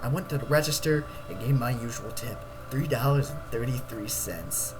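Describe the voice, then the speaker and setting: A man's voice: medium pitch, moderate pace, noisy background. A man narrating a first-person story as a comedic dramatic reading. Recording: loud background music. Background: music.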